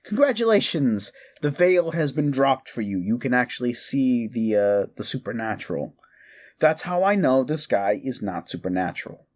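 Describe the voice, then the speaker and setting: A man's voice: medium pitch, very animated, moderate pace, darkish tone. A man playing a quirky, mischievous necromancer in a comedic audio roleplay, rambling eagerly and casually with slangy stammers. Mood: sheepish, reassuring.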